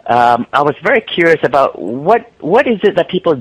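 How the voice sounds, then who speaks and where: A man's voice: fairly high pitch, very animated, brisk, breathy edge, no room sound, low-quality recording. A man from Malaysia, a guest trader and author, answering interview questions casually with many ums and restarts.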